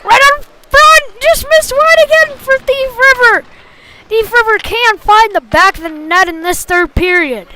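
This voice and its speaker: A woman calling a youth hockey game live in short, clipped phrases, getting excitable on big plays. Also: very high voice, measured pace, poor audio. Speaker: play-by-play hockey commentator. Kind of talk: live sports commentary.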